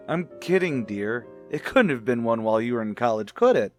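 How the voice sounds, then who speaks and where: Man playing easygoing american husband, old radio drama, middling pitch, dry teasing banter, bit skeptical. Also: lively intonation, moderate pace, soft background music, natural voice. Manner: wry, bantering. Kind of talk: mid-century radio drama acting.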